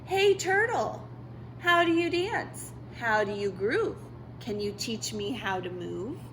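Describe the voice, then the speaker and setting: Woman voicing an eager, high-pitched storybook cat. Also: very animated, slow, a bit bright, slight background noise, exaggerated voice, rough recording. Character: eager, hopeful young male cartoon cat who loves dancing.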